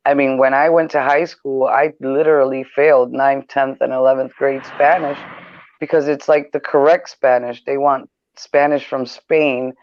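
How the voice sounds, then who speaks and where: A man's voice: mid-range voice, flat delivery, moderate pace. A man hosting a casual live-stream chat, laughing often and teasing his callers. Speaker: stream host. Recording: dull lo-fi sound.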